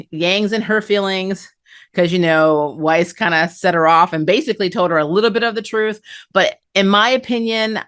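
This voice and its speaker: A woman with a slightly low-pitched voice talks through an episode recap as a review host. Her delivery is casual and chatty, like conversational commentary shared with viewers. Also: a bit bright, smooth. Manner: chatty, conversational, acts out characters' lines. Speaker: anime review channel host.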